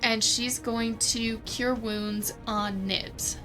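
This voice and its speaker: High-voiced woman playing a tabletop game, unhurried. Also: steady tone, a bit bright, faint music behind. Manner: thinks aloud, informal, narrates her characters' actions. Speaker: player in a tabletop roleplaying game. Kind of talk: casual game-table narration and chat.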